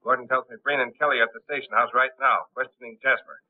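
A sly, scheming crime boss acted by a man in a 1930s crime picture. His pitch sits in the middle of the male range, and the old soundtrack is thin and phone-like. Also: fairly even intonation, fast, close to an everyday voice. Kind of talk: 1930s film acting. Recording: tinny, band-limited 1930s film soundtrack.

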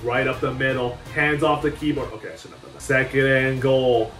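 A young man giving excited, playful commentary over his own football video game, often chanting the same words over and over. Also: medium pitch, brisk. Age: young adult. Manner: hyped, playful, repeats chants, trash talk. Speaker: gaming YouTuber. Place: small room indoors. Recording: roomy, slightly distant, faint music.

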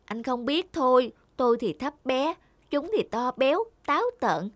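A woman's voice: high-pitched, lively intonation, moderate pace, dry recording. A woman voicing a small, timid, earnest cartoon mouse with a hesitant, pleading manner. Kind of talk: animated moral fable dubbing. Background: music.

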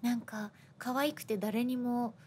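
A woman's voice: on the high side, steady tone, measured pace, dark tone, slight background noise. A woman radio co-host chatting casually and reading out listener messages.

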